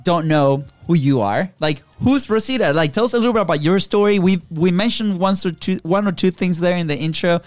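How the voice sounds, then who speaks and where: High-voiced, hyped-up male podcast host. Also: a little fast, hardly any pauses, no room sound, faint music behind. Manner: enthusiastic, hype-filled, cheering. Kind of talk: upbeat podcast hosting.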